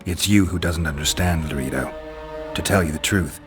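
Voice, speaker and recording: Gruff-talking stoic monster hunter, game dialogue, real deep voice, blunt n deadpan, music playing behind. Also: male voice, moderate pace. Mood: dry, challenging. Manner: terse, deadpan. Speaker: game character voice actor. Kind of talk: video game dramatic dialogue.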